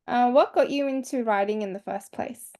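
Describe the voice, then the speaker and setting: A woman host asks her guest questions in a casual, friendly way and readily laughs along. Her voice sits a little higher than average. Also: normal speed, a little mellow. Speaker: interview host.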